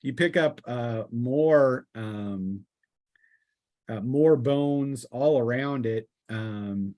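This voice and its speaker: A man narrating a tabletop roleplaying game as game master, improvising in a halting way with pauses mid-sentence. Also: medium pitch, darkish tone.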